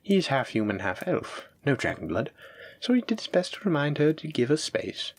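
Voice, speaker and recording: A man playing a doting, gently possessive dragon-blooded librarian, speaking tenderly and coaxingly to his sweetheart in an intimate audio roleplay. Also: a little high, very animated, moderate pace, slight background noise. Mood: explaining, affectionate. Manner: tender, coaxing, affectionate, reassuring. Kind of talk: intimate scripted audio roleplay addressed to the listener.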